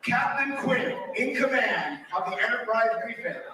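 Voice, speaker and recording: A man's voice: extremely high pitch, expressive, moderate pace. A man hosting a convention panel with playful live announcing. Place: large convention hall. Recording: distant echoey hall, loud room noise.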